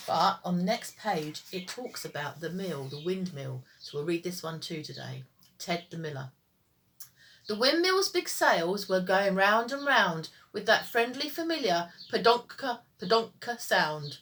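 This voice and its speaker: A woman teacher reading children's stories aloud in an expressive, sing-song storytime style. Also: mid-range voice.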